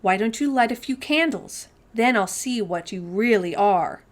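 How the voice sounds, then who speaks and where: A woman's voice: mid-range voice, animated, measured pace, natural voice. A woman voicing a plucky man in a storybook who taunts with feigned nonchalance and defiance. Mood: mock-casual, dismissive.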